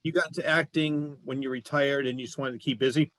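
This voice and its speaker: A man's voice: mid-range voice, steady tone, fast, a bit bright, no echo, poor audio. A man hosting a talk show, chatting casually and putting questions to his guests. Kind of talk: casual interview chat.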